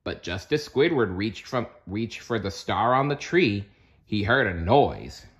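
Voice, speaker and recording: A man's voice: on the low side, big pitch swings, a little slow, fairly bright tone, lo-fi sound. A man reading a storybook aloud as narrator, expressively and with playful asides. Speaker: storybook reader.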